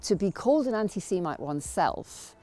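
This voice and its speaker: A woman giving personal testimony as an activist, speaking in a grave, deliberate manner. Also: mid-range voice, expressive, warm tone.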